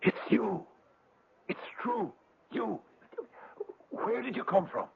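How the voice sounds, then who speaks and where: An actor playing a dreamy, idealistic, poor father, fervent and excitable, in an old-time radio drama. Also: high-pitched, slow pace, creaky, heavily acted. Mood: awed, solemn. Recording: tinny, noisy old radio recording.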